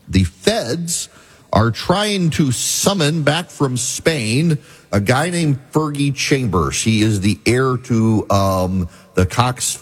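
A man's voice: fairly low pitch, breathy edge. A middle-aged man hosting a talk radio show, chatting casually and poking fun at himself while he has a head cold. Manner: chatty and self-deprecating, with frequent restarts. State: head cold, slightly scratchy voice.

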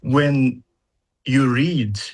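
A man who speaks English as a second language, explaining things as an educator in a measured interview conversation, using examples. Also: mid-range voice, husky. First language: not English. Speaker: university educator in data analytics.

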